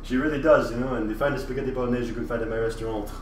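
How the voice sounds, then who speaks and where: A crude, self-satisfied French chef, as a man puts him on with a put-on accent, rambling and cursing at a middling pitch. The voice sits a little away from the mic in an echoey kitchen, with faint ambience behind it. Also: fairly even intonation, very fast, a little mellow, distant mic, slight background noise, close to an everyday voice. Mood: cocky. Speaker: livestreamer. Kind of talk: casual livestream chat in character.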